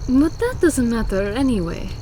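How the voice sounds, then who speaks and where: A woman's voice: on the high side, big pitch swings, moderate pace, mellow. A woman playing a serious member of a fighting clan in a visual novel, earnest and explanatory. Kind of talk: scripted visual novel voice acting. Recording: faint background noise.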